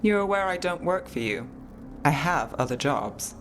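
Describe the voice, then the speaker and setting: A woman's voice: sits low, animated, normal speed, slight background noise. An actress playing a dry, world-weary woman detective in a video game, wry and understated, with music behind her. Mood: dry, focused.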